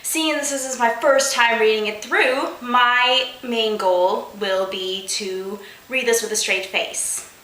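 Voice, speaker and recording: A woman's voice: fairly high pitch, takes it slowly, bright, forceful tone. A woman doing a comedic read-aloud of a story as host, amused and exasperated, adding asides as she goes. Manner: amused, breaks into giggles while reading, exasperated asides. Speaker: host of a fanfiction reading show. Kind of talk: comedic dramatic reading with asides. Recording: roomy, echoing room sound.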